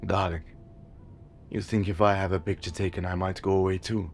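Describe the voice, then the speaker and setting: An actor playing a gentle sailor father who patiently coaxes his frightened daughter. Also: low voice, level tone, moderate pace, a little hiss or hum. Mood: coaxing. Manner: soft, patient and coaxing. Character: tender seafaring father coaxing his scared daughter.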